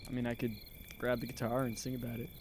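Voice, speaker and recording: Casting: man as earnest teen guy, mid-range casual rambling voice, audio drama, crickets clearly behind him. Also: medium pitch, monotone, quick pace, natural voice. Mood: playful. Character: eager high school boy, amateur investigator. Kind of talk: naturalistic audio drama dialogue. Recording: outdoor night noise, crickets. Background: crickets and insects.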